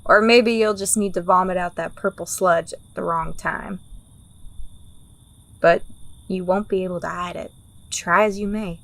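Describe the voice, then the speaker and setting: A woman's voice: on the low side, fairly even intonation, unhurried, gravelly, pauses often. A woman playing a world-weary, pragmatic underworld operative in a fantasy audio drama, blunt and wry with a teasing edge. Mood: frank, knowing.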